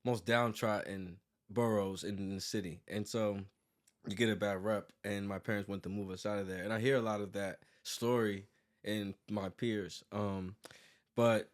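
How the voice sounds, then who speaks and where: A man in his thirties with an American accent and a fairly low voice. He talks casually as an interview guest, rambling, sprinkling in "like" and restarting his thoughts as he explains himself. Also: fairly even intonation, normal speed. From United States, born in New York and raised in Virginia. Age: 30s. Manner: rambling, frequent "like" and self-corrections. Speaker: interview guest, graphic designer and business owner.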